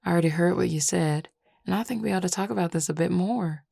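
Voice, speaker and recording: Woman voicing a low, drawling Southern werewolf flirt. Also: gravelly, mellow, slightly rough, dry recording, studio quality, full character voice. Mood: sincere, flirty. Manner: drawling, playful, unhurried teasing. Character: flirty, teasing Southern male werewolf bar patron. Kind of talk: scripted audio roleplay.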